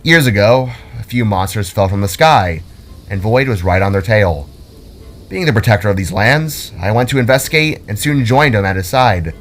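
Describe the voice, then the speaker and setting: A man's voice: lowish voice, expressive, normal speed. A man voicing a mighty, peaceful Norse war god in a narrated fantasy story, stately, formal and welcoming. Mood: warm, solemn.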